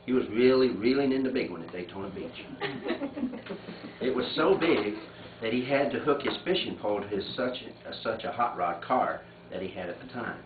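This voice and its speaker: A man telling stories and reading aloud informally among family, animated and often breaking into laughter. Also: mid-range voice, moderate pace. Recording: muffled phone-like audio, echoey, distant, noisy room.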